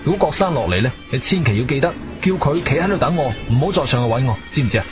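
A man's voice: mid-range voice, rapid-fire, close to an everyday voice. A male actor playing an agile, intense adventurer who narrates his own radio drama in suspenseful Cantonese. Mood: urgent, alarmed. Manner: animated, suspenseful storytelling. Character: agile martial-arts-trained adventurer, urgent and intense. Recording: studio radio drama with music behind.

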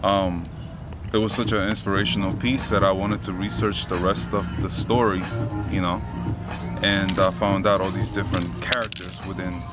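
A man with a low, flat-toned voice gives a casual interview over loud music. He is in his thirties, speaking as an artist, thoughtful and halting. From Honduras, raised in Miami. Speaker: mural artist. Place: outdoors by a painted court wall. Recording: music bed behind the voice.

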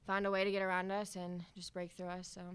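A young adult woman college soccer player giving brief, low-key answers at a press conference. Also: completely flat delivery, fast talker, fairly bright tone, clear, resonant tone.

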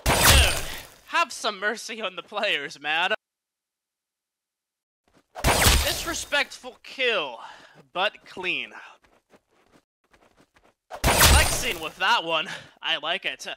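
A man's voice: sits high, animated, drawn-out words, pauses often, heavy background noise. A man delivering scripted voice-pack quips as a game announcer, with a sardonic manner.

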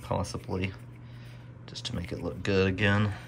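An American man narrating his hobby restoration work casually and unscripted, musing out loud as he goes. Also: low voice, little pitch movement, normal speed, vocal fry. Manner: musing, thinking aloud. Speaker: hobbyist radio restorer presenting a video. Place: small indoor home workshop. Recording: faint room noise.

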